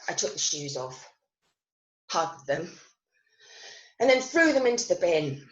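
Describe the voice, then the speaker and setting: An actress playing a self-critical, wry and wistful woman recovering from an accident, telling her story in a theatrical monologue with deliberate pauses. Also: lowish voice, big pitch swings, muffled recording. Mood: regretful, resolute. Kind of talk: dramatic monologue reading of new writing. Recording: roomy, echoing online recording.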